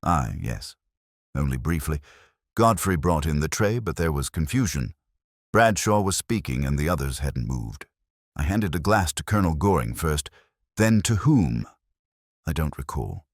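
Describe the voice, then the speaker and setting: A cautious woman guest in a mystery audiobook, voiced by a man. The delivery is hesitant and guarded, the pitch very low, and the sound dry and free of room. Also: big pitch swings, measured pace, dry recording, full character voice. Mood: cautious, uncertain.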